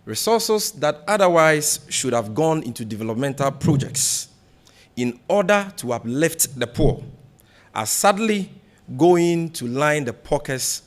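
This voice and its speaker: A man with a Ghanaian accent reading a prepared political speech as a party spokesman, in a deliberate, emphatic, oratorical way. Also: mid-range voice. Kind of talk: formal political speech read from a script at a press briefing.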